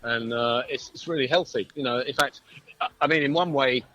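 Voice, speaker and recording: A man's voice: medium pitch, fairly quick, sharp tone. A British man chatting casually and agreeably as a musician guest in an interview over a phone call. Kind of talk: casual chat. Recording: dull phone-call audio.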